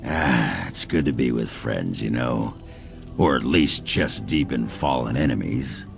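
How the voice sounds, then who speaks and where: A gruff video game warrior, with an extremely low, rumbling voice. He is blunt and sardonic, and every word comes out heavily gravelled. Music plays clearly behind him. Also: a little slow, full character voice. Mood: gruffly sentimental. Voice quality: very gravelly, growling. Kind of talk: video game character acting. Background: clear background music.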